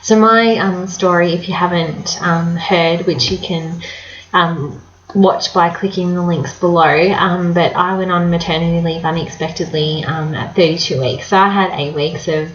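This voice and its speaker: A woman's voice: lowish voice, steady tone, normal speed, roomy sound, lo-fi sound. A young woman in her twenties, a vlogger chatting casually to camera and giving advice, with many ums and a slightly nasal sound from a cold. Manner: chatty, warm-hearted advice with many fillers.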